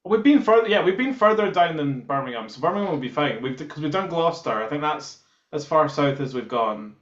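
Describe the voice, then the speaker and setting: Casting: Scottish male band interviewee, slightly high voice, casual talk, roomy dull recording. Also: expressive, brisk. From Scotland. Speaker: band member being interviewed. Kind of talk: casual chat. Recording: roomy, echoing, dull call audio.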